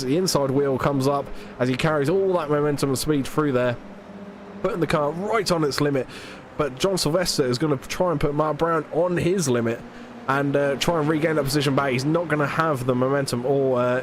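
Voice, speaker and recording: A man's voice: on the high side, noisy background. A British man commentating alone on a live sim race, chatty and self-deprecating, his voice a bit stuffed up from hay fever. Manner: chatty, self-deprecating, quick play-by-play with fillers.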